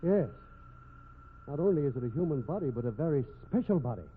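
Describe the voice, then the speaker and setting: Man playing a blustering, high-pitched radio drama boss. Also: animated, a little fast, close to an everyday voice. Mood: shocked, rattled. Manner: gruff, impatient, exclamatory. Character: blustering, irascible businessman, busy company president. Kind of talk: 1950s radio drama acting. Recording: tinny, hissy 1950s radio recording.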